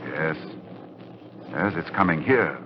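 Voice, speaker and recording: An actor playing an urgent, dread-filled scholarly doctor in a dramatic 1940s radio horror play. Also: male voice, rumbling low, lively intonation, slow pace, noisy background, exaggerated voice. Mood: grim dread. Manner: dramatic, intense delivery. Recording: muffled 1940s radio recording, music behind.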